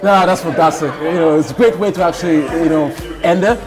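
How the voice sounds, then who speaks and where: A man's voice: on the high side, fast talker, music in the background, a crowd in the background. A man hosting a business interview, asking eager questions with lots of fillers. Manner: eager, with many fillers and backchannels. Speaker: interviewer, show host.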